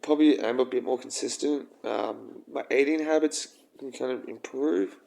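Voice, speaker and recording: A young man with a croaky voice, rambling and halting through a casual personal vlog. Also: mid-range voice, a little mellow, poor audio. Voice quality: croaky, gravelly. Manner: rambling, halting, with frequent ums and swearing. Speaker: vlogger.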